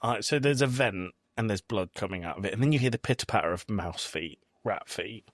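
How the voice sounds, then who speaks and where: A man's voice: lowish voice, lively intonation, a little fast, no echo, studio quality. A man hosting a podcast in casual, emphatic, opinionated conversation. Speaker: podcast host. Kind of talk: casual chat reviewing a film.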